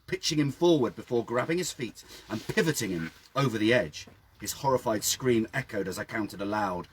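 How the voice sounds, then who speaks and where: A man reading his own story dramatically outdoors, with measured, hushed and suspenseful delivery. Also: medium pitch, breathy edge, low-quality recording. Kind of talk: dramatic scripted story narration. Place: outdoors by a town well.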